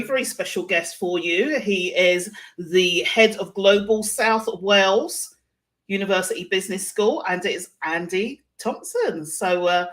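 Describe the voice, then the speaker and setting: A woman's voice: mid-range voice, fairly bright tone. A woman hosting a live online interview show, speaking in a chatty, effusive and encouraging way. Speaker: show host.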